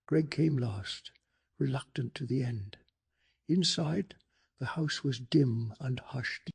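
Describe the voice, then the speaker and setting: A man narrating a bedtime story in a soft-spoken ASMR style, calm, hushed and measured, with a breathy, gravelly voice. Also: medium pitch.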